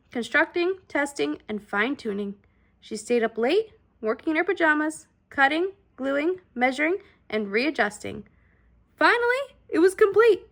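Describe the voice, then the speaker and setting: A woman narrates a children's storybook in a high voice with a creaky edge. Her reading is expressive and deliberate, leaning into the dramatic words as a read-aloud host would.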